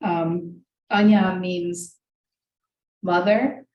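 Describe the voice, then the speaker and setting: A first-generation Hungarian-American woman, a native Hungarian speaker, reads her poems aloud in a measured, deliberate way, then adds loose asides full of ums. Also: lowish voice, fairly even intonation, highs cut off. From United States, Hungarian family. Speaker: poet reading her work. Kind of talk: live poetry reading with spoken asides. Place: reading room of a library, indoors. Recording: echoey room, voice from far off, faint hiss.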